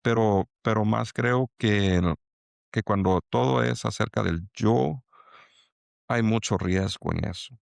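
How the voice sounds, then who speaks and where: A middle-aged man from El Salvador with a Salvadoran accent, speaking as a guest in an interview and pausing to restart his thoughts. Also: fairly low pitch, gravelly, no room sound, clean studio sound. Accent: Salvadoran Spanish. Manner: halting, many restarts and fillers. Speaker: angel investor guest. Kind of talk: reflective interview talk.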